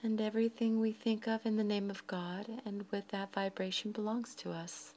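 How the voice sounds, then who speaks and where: A woman with a mid-range voice guides a meditation. Her delivery is soft, breathy and deliberate, set out in slow, short phrases with pauses between them. Noise is clearly audible behind her. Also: medium pitch, flat delivery.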